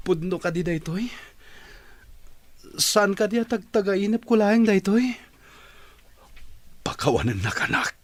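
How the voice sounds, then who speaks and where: A man's voice: on the high side, husky, heavily acted. An actor playing an impatient, emotional, wealthy father in a radio drama, speaking with commanding urgency. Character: impatient, emotional wealthy father searching out the truth about his son. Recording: noisy radio drama track.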